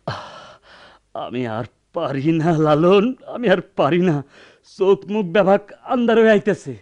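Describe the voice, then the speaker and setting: An actor playing a wounded young peasant in a Bengali radio drama, dazed, pained yet defiant, with a rural Bangladeshi dialect. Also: male voice, high voice, animated, a little slow, darkish tone, muffled recording. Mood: exhausted. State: dazed, in pain.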